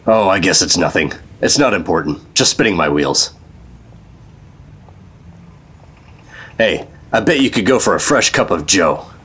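A lively, fairly low-voiced man playing a secret agent in a radio play. He is earnest and excitable, with swooping intonation. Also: normal speed, breathy edge, exaggerated voice. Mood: dutiful, dry. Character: earnest, excitable male government secret agent telling his story. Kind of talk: old-time radio drama narration and acting.

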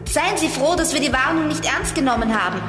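An actress playing a plucky, concerned young junior sleuth in a children's audio drama, earnest and full of questions. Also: female voice, high-pitched, animated, fairly quick. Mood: plucky, defiant. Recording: echoey reverberant hall, music underneath.

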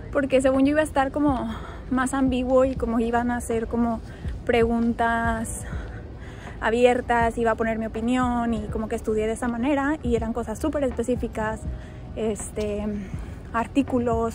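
Young woman, Mexican accent, slightly high voice, casual phone vlog with street noise behind. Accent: Mexican Spanish. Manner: chatty, upbeat, many fillers while walking. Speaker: vlogger. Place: outdoors on a campus while walking, later a home kitchen. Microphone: phone at arm's length.

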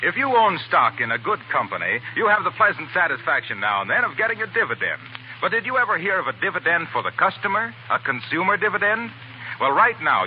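A polished, genial American pitchman on 1940s radio. His voice is fairly high and moves around in lively fashion. The old broadcast is a little dull. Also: a little hiss or hum. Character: genial, polished male announcer and pal. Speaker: announcer and sponsor pitchman.

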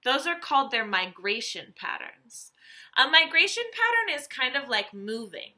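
A woman, reading a nonfiction book aloud to young children as a teacher, animated and carefully enunciated. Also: high-pitched, normal speed. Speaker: elementary school teacher.

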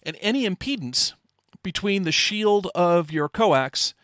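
A man's voice: high voice, expressive, measured pace, a bit bright, dry recording, studio quality. A middle-aged man presenting a technical lecture in an informal, explanatory way, asking rhetorical questions.

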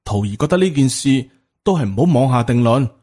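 A man's voice: mid-range voice, expressive, a little fast, dark tone, natural voice. A man voicing an earnest, respectful young Taoist disciple who pleads and reasons in an audiobook. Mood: earnest, worried.

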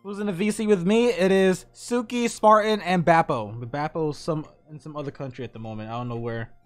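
A man's voice: a little high, brisk, no room sound. A young man hosting a casual live stream, bantering and cursing as he stumbles over his words. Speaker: streamer, host. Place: indoor home streaming room. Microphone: streaming mic. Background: music.

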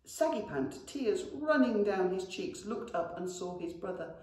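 Animated woman storyteller in an echoey room. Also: mid-range voice, unhurried. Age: middle-aged. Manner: animated, affectionate address to children. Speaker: schoolteacher reading to pupils. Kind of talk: expressive children's storytelling. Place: indoor school classroom. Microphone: camera a few metres away. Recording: very echoey classroom.

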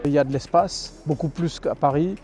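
A man in his thirties, talking through a face mask, calmly explaining his answers in an outdoor street interview. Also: on the high side, fairly quick, faint music behind. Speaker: resident interviewed. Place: outdoor town street by a shop. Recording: slightly dull outdoor recording. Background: faint street ambience.

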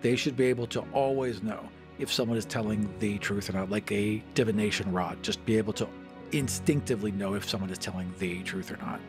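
A man's voice: lowish voice, fairly quick, over music. A man in casual podcast chat, speaking emphatically and repeating phrases for effect. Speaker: podcast panelist.

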